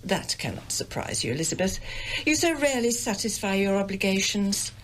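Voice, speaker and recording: A woman's voice: mid-range voice, very animated, brisk, a little hiss or hum, natural voice, rough recording. An actress playing a domineering, self-pitying older English village mother in a radio drama, haughty and querulous. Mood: self-pitying, reproachful. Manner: querulous, haughty, melodramatically aggrieved.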